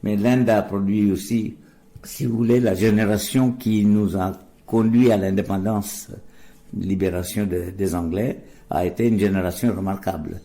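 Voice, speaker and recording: A low, mellow-voiced older man, from a Gujarati-speaking home. He talks slowly and hesitantly, with many restarts, as a guest in a radio interview. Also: steady tone. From India. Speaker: guest, deacon.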